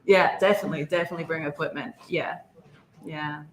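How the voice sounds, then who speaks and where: Chatty Scottish woman, slightly low voice. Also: steady tone, brisk, low-quality recording. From Scotland. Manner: chatty, laughs easily, many fillers. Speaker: panel guest, paranormal investigator. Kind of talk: casual convention panel talk. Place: convention panel room.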